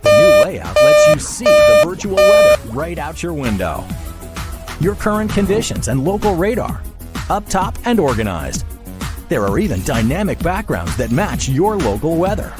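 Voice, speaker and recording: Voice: mid-range voice, huge pitch swings, normal speed, music in the background. A commercial announcer giving an upbeat promotional read.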